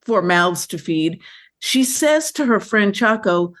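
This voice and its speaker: A woman with a mid-pitched voice is being interviewed about her work. She tells her stories in a lively, chatty way, and she often restarts sentences and fills pauses with "you know.". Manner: animated, chatty storytelling with fillers and restarts. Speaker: filmmaker guest. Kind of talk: conversational interview.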